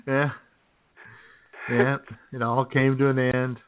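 Male comedy radio co-host, mid pitch, teasing and chatty, heard through narrow, phone-like audio. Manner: teasing, joking. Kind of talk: casual comedic banter. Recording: tinny, phone-like band-limited audio.

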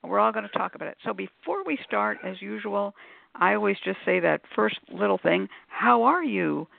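A woman's voice: mid-range voice, lively intonation, moderate pace, creaky, no room sound. A woman hosting a talk show, chatting and explaining to listeners in a conversational way with playful asides. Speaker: radio show host. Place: live call-in audio show. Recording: narrow phone-like line.

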